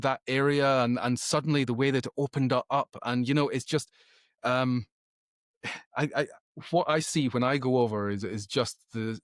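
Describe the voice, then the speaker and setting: A man's voice: mid-range voice, no echo, studio quality. A man speaking as a podcast guest in conversation, emphatic and opinionated, often repeating words as he thinks. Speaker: podcast guest, author and creativity educator.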